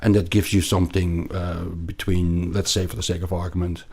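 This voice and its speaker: A man with a Belgian accent explaining things as an interview guest, haltingly and emphatically, often repeating words. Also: sits low, flat delivery, gravelly, a little mellow, breathy edge. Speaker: podcast guest, investment analyst.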